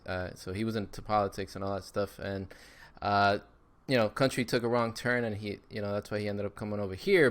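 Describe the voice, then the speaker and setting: Upbeat podcast guest, a man in his thirties, lowish smooth voice, talks loosely with fillers. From Miami, Florida. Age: 30s. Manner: upbeat, with frequent fillers and repeats. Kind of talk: casual interview chat.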